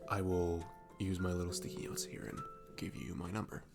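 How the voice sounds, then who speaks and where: A man acts out a sweet young barista in an intimate ASMR roleplay. His voice is low with plenty of vocal fry. He rambles hesitantly and pokes fun at himself, with music clearly playing behind him. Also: flat delivery, brisk. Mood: shy, sweet. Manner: rambling, self-deprecating, giggly, hesitant. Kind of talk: intimate ASMR roleplay, soft improvised-sounding chat.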